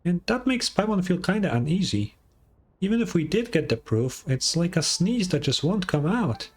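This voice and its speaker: A woman voicing a perky, bossy little fairy companion in an anime game, squeaky and exclamatory. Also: very animated, normal speed, darkish tone, slightly rough. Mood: uneasy, puzzled. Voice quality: squeaky, childlike. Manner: refers to self in third person, exclamatory. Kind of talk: anime video game voice acting.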